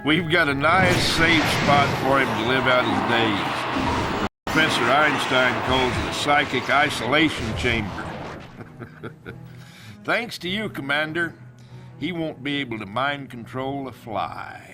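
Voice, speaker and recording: A gloating general takes his time with very slow, lively phrasing at a middling pitch. He is hearty and smug, with loud music behind him in a game cutscene. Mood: smug, amused. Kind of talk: video game cutscene acting.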